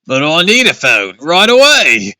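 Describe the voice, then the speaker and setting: A man's voice: medium pitch, expressive, normal speed, sharp tone, no echo. A man voicing a panicked, hot-tempered father who sputters, stammers and shrieks. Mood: frantic.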